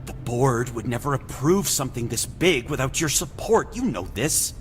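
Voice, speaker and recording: An actor playing an earnest, ambitious younger executive in a video game, persuasive and urgent. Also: male voice, medium pitch, animated, normal speed, slight background noise, lightly acted. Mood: urgent persuasion. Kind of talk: dramatic video game voice acting.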